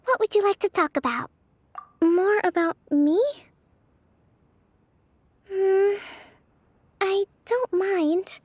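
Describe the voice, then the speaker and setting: A woman voicing a gentle, introverted young priestess and strategist in a video game, soft-spoken, formal and thoughtful. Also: very high-pitched, slow pace, pauses often, no echo. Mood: shy, reflective. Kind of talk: video game character voice acting.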